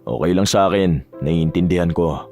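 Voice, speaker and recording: Man acting a low-voiced young billionaire groom. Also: normal speed, gravelly, a little mellow, faint music behind. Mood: agreeable. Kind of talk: Tagalog romance audio drama acting.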